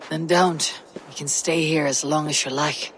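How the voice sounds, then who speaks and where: An actress playing a stoic, loyal female Viking raider with a measured, plain-spoken manner in a video game. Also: deep voice, steady tone, slight background noise. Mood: reassuring. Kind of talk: video game cutscene acting.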